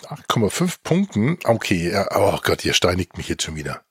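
A man hosting a podcast in a casual, jokey way, often halting and interrupting himself. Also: low-pitched, big pitch swings, slightly rough, no room sound, clean studio sound. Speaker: podcast host.

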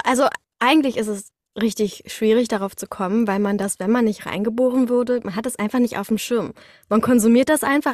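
A woman's voice: on the high side, no room sound, studio quality. A young woman chatting casually as an interview guest, telling her story in an enthusiastic, colloquial way. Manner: enthusiastic, colloquial, acts out quoted exclamations. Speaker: interview guest, studio voice artist.

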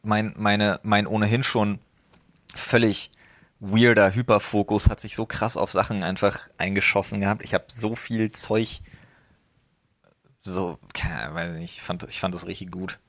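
A man's voice: fairly low pitch, steady tone, measured pace, a bit bright, no echo. A man co-hosting a casual podcast chat, slangy, often trailing off into a mumble. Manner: slangy, drops to mumbling at ends of phrases. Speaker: podcast co-host.

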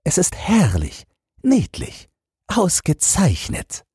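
A man voicing a fawning royal courtier in a fairy tale, gushing with drawn-out admiration. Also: high voice, huge pitch swings, mellow, breathy edge, no echo, clean studio sound, voice pushed up, heavily acted. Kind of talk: fairy-tale audiobook character voice.

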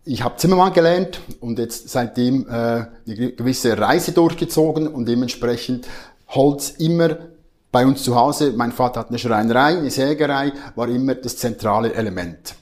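Swiss-accented man, forties, earnest, hesitant, self-correcting, mid-pitched voice. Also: normal speed, a little mellow. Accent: Swiss German. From Switzerland. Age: 40s. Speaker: guest, marketing head. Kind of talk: podcast interview.

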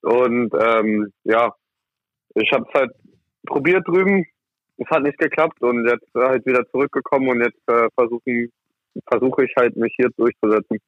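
Young male athlete, casual interview guest, halting delivery, medium pitch, dull lo-fi sound. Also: dry recording. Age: young adult. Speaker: ice hockey player, interviewed guest. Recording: muffled, dull lo-fi audio.

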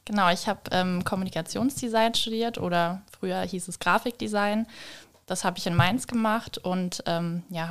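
A young woman speaking casually as a guest in a podcast interview. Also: fairly low pitch.

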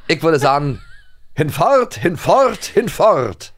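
A man's voice: a little high, sing-song, slow, heavy background noise. A man co-hosting a podcast in playful casual chat, jokey, interrupting himself and hamming up quoted lines. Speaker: podcast co-host.

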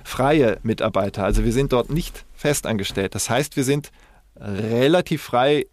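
Male podcast co-host, mid-pitched, explanatory and halting, very dry recording. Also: darkish tone, no echo. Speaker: podcast co-host and professional news presenter. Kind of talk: casual podcast conversation.

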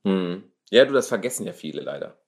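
A man's voice: low voice, big pitch swings, fairly quick. A man from the Ruhr area interviewing a guest in casual conversation, slangy and agreeable, with lots of fillers. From Ruhr area, Germany.

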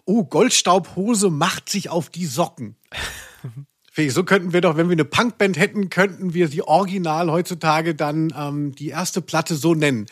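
A man's voice: on the high side, normal speed, husky, dry recording, clean studio sound. A man hosting a chatty podcast and running a quiz in a playful, tongue-in-cheek way. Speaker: podcast host and quizmaster. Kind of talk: casual chatty podcast talk.

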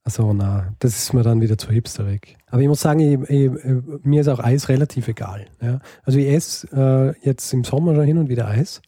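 A man's voice: medium pitch, fairly quick, hardly any pauses, mellow, slightly rough, no echo, clean studio sound. A man co-hosting a casual podcast chat, talking hesitantly with many fillers and restarts. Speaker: podcast co-host.

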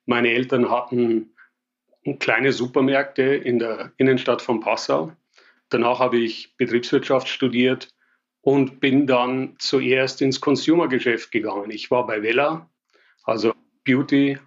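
A middle-aged man from Bavaria with a Bavarian accent, relaxed and conversational as an interview guest, with occasional hesitations. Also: mid-range voice, a little slow, dull audio. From Bavaria, Germany. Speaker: interview guest, business executive. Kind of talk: casual interview answers.

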